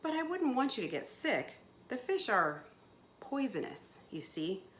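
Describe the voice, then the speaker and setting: A woman's voice: on the high side, very animated, a little slow, reverberant, voice pushed up. A kindly old woman from a storybook, as a female reader puts her on. She murmurs tenderly and mournfully, fussing over a child. A slight noise sits under the voice. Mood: gently warning. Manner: soft-spoken, murmuring.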